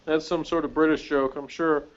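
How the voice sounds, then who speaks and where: A man's voice: on the high side, level tone, moderate pace, muffled recording. A man co-hosting a show in casual conversation, rambling along in an easygoing way. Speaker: podcast co-host.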